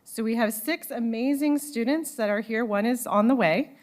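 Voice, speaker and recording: A woman's voice: a little high, normal speed. A woman moderating a student panel at a conference into a handheld microphone, organized, with some restarts and fillers. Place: conference room.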